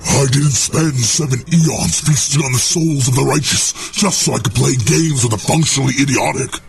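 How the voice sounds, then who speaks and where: A man's voice: mid-range voice, moderate pace, gravelly, slight background noise, close to an everyday voice. A man playing a haughty, sarcastic demon lord in a comic radio play, talking down to his underlings. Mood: menacing disdain. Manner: sarcastic, scornful, condescending.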